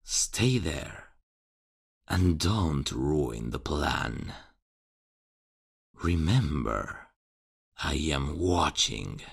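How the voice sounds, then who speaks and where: A man voicing a calm, mocking vigilante killer who speaks slowly and with quiet menace in an ASMR horror roleplay. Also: very deep voice, creaky, slightly rough. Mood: calm commanding. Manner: slow, teasing, quietly menacing.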